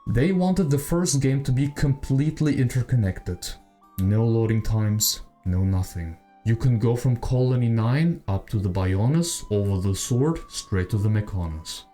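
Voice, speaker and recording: Male video essay host, warm dark tone, conversational and speculative, soft music bed underneath. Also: mid-range voice, expressive, unhurried. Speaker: video creator, channel host. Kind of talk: video essay commentary on video games. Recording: music behind the voice. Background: background music.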